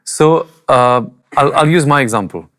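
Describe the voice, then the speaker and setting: A male choir singer talks in a podcast studio about his craft, bold and candid, sometimes poking fun at himself. His voice is a middle pitch, and he speaks in plain conversational sentences. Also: moderate pace, fairly bright tone. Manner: bold, candid, self-deprecating. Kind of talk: conversational explanation.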